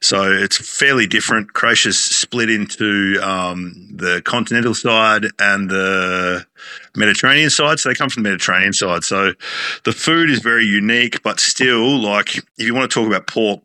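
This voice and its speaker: A man's voice: on the low side, normal speed, bright, forceful tone. A man, a chef talking casually as a guest, rambling with many fillers and restarts. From Australia. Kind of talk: casual interview talk.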